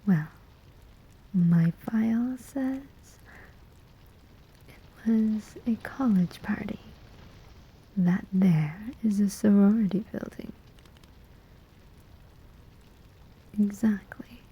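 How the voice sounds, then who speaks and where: A woman's voice: medium pitch, dark tone, heavily acted, poor audio. A woman playing a sly, amused demon collector of souls in a whispered, teasing ASMR roleplay. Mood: cool, matter-of-fact. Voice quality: breathy, whispering. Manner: slow, teasing, deliberate pauses.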